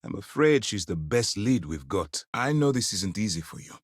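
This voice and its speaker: An actor playing a stern, principled space navy commander in a video game, speaking in a formal, deliberate, authoritative way. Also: male voice, on the low side, sing-song, no echo, clean studio sound. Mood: measured, grave. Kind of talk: scripted dramatic game dialogue.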